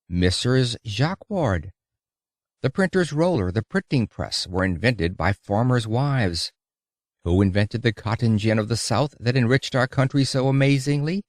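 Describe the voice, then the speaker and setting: Expressive male audiobook reader, widely swinging pitch. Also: no room sound, studio quality. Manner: theatrical, emphatic delivery with dramatic pauses and rhetorical questions. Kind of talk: audiobook reading of an oratorical public lecture.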